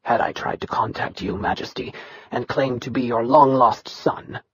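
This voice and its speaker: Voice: lo-fi sound, slight background noise, lightly acted. A narrator doing a cold, bitter young man. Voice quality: low, quiet, level and emotionless. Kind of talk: audiobook character reading.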